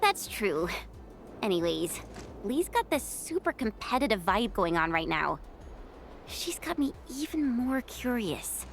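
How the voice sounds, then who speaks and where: An actress voicing an upbeat, curious young woman companion in a video game, talking in a casual, expressive way. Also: mid-range voice, a little slow, soft background music. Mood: puzzled, chatty.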